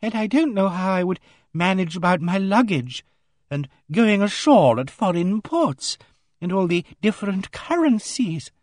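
A man's voice: high-pitched, sing-song, a little slow, dry recording, studio quality, pitched above the performer's own voice. A man voicing a timid, fussy elderly spinster lady with a genteel, fluttering, reproachful manner, in an audiobook. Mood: anxious. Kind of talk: audiobook character voice.

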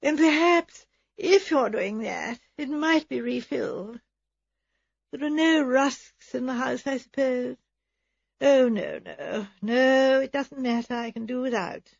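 Weak whiny english invalid spinster, high n breathy, poor-me martyr, storybook, muffled. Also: female voice, slow pace, no room sound, heavily acted. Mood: feeble, fussy. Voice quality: thin, weak. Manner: melancholy, martyred, plaintive. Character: frail, self-pitying invalid spinster, melancholy and fussy.

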